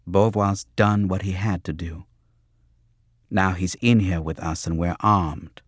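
A man voicing a quiet, firm, steadying woman in an audiobook thriller, soft and admonishing. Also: low voice, steady tone, slow, husky, no room sound, studio quality, exaggerated voice. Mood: quietly firm.

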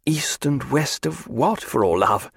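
A man performs a learned naval doctor for an audiobook. He speaks formally in ornate, old-fashioned phrases with a wry, unworldly air. The intonation is very lively and the recording dry. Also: medium pitch, unhurried, creaky, husky, no room sound, pitched above the performer's own voice, full character voice. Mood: wry, puzzled.

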